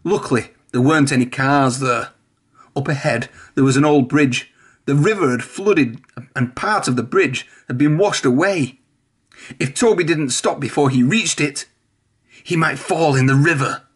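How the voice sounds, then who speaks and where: A man's voice: mid-range voice, normal speed, husky. A man reading a children's storybook aloud, narrating expressively. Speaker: storybook reader.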